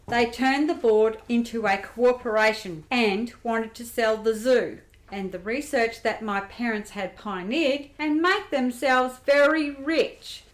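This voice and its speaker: Woman playing a high-voiced young grifter, echoey. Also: fairly bright tone. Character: candid young female grifter, orphaned, streetwise and resilient. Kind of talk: radio play acting. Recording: roomy, echoey amateur recording.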